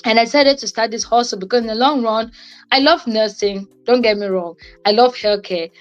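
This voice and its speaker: A woman's voice: fairly high pitch, fairly quick, muffled recording, soft background music. A young adult woman giving an informal motivational talk on a livestream, conversational and repeating phrases for emphasis. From Cameroon. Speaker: co-host and guest speaker on a livestream.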